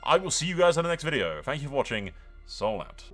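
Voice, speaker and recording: A man's voice: a little high, sing-song, fast, loud music behind the voice. A man giving casual, sweary gaming commentary as host, with a wry, joking and informal manner. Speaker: video game streamer, host.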